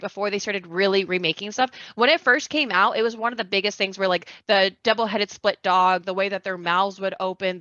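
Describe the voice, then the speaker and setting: A woman with a bright, forceful voice chats as a podcast guest. Her talk is informal, slangy and rambling. The audio is dry and a little lo-fi. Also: mid-range voice, no echo. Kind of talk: casual podcast chat.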